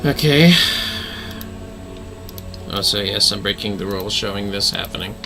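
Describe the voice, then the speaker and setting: A man's voice: lowish voice, lively intonation, moderate pace, creaky, music in the background. A man chatting casually as he hosts a game livestream, informal and apologetic, swearing freely. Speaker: livestream host playing a video game.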